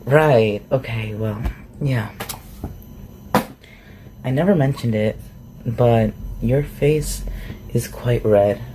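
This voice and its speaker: An awkward, inquisitive shapeshifter living as a forest recluse, acted out by a performer for a fantasy roleplay ASMR. The voice sits at a medium pitch and rambles through hesitant questions. Noticeable noise runs under the recording. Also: slow pace, pauses often, close to an everyday voice. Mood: awkward, teasing. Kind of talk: roleplay ASMR audio acting. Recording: clearly noisy home recording.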